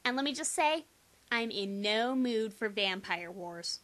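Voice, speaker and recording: Bright-voiced young woman reading theatrically. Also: a little high, lively intonation, unhurried. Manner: exaggerated, sarcastic, theatrical emphasis. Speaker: amateur reader. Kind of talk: dramatic reading of a first-person teen novel.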